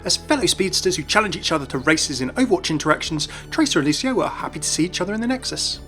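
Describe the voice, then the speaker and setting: A man's voice: sits high, very animated, fast, bright, forceful tone. A man hosting a gaming video, reading scripted narration. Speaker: YouTube video host. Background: music.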